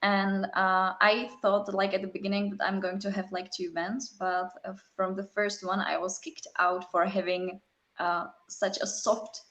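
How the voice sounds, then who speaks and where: A young woman, a metal band singer, talking casually in a live chat interview, with many fillers and halting non-native phrasing. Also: mid-range voice, flat delivery, fairly bright tone.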